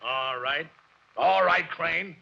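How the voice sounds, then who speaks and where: Gruff, gravelly police lieutenant. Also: male voice, on the high side, slow pace, bright, forceful tone, heavily acted. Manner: growling, curt, menacing. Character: gruff male police lieutenant, impatient and threatening. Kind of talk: 1940s radio acting. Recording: lo-fi 1940s radio broadcast with hiss.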